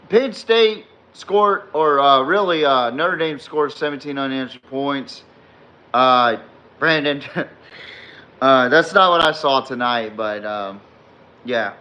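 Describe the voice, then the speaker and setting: Hoarse, slow-talking man hosting a sports podcast. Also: mid-range voice, sharp tone, muffled recording. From Texas fan, not necessarily from Texas. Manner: rambling, filler-heavy, reads and answers chat comments. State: slightly hoarse. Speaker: podcast host. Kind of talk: live-streamed sports podcast recap.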